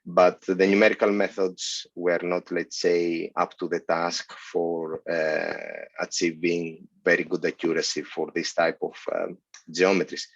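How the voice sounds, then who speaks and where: A man from Greece speaks through a headset on a video call, his voice very low and unusually flat in tone. He answers steadily but halts often with fillers. The audio is dull and dry, with no room sound around it. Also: gravelly, no room sound. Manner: measured, halting with many fillers. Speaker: guest, senior lecturer. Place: office, indoors.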